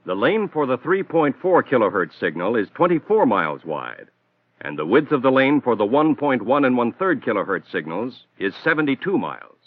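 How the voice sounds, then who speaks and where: A man giving measured, scripted technical narration for an instructional film. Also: medium pitch, sing-song, dry recording. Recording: tinny narrow-band old film soundtrack.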